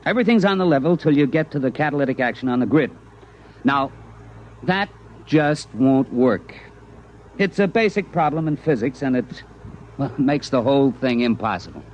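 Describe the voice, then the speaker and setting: An actor playing a cocky American army private with a sardonic, slangy manner in 1950s radio drama style. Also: male voice, mid-range voice, lively intonation, moderate pace, natural voice. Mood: eager, explaining. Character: cocky wisecracking army private, science-fiction writer turned schemer. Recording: muffled 1950s radio recording, faint noise.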